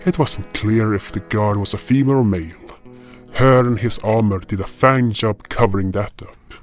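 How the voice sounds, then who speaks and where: First-person fantasy narrator: male actor for dutiful spirit guardian, lowish pitch, measured, solemn delivery over guitar music. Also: natural voice.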